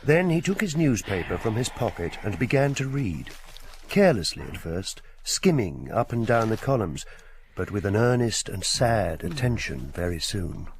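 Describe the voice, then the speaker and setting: A man with a husky voice narrating a literary story from a script, as in a radio drama. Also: fairly low pitch, big pitch swings, moderate pace, a little hiss or hum.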